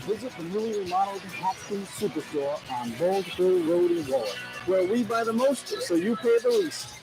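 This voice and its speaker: A man's voice: high voice, animated, moderate pace, music in the background, low-quality recording. A man reading a scripted radio commercial as the owner of a family store.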